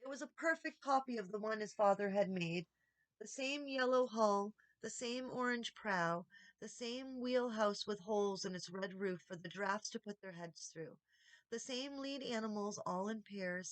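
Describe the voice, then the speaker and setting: A woman reading a children's story aloud as expressive audiobook narration. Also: medium pitch, normal speed, no echo. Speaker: audiobook reader.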